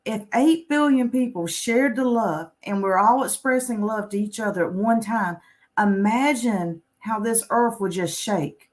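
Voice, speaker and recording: A woman's voice: a little high, unhurried. A woman speaking as a panel guest in a conversational, inspirational style, earnest and encouraging.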